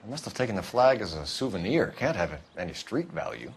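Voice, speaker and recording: A man's voice: lowish voice, very animated, brisk, slight background noise. An actor playing a serious, formal, by-the-book male police detective in a video game cutscene. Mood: businesslike.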